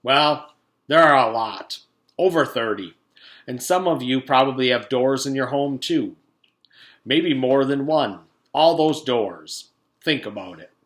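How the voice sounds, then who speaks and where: A man's voice: medium pitch, measured pace, fairly bright tone, close to an everyday voice. A man voicing a pompous, blustering school principal in a storybook, with an emphatic, declamatory delivery. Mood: self-important.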